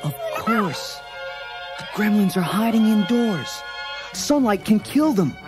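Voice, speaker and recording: A man's voice: sits high, animated, slow pace. A man playing an earnest, urgent young hero in a dramatized children's read-along. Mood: determined. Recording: music behind the voice.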